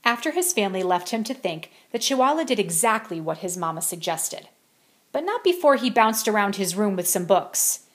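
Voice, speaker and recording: Animated woman reading a bedtime story. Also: medium pitch, moderate pace. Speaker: storybook read-aloud narrator.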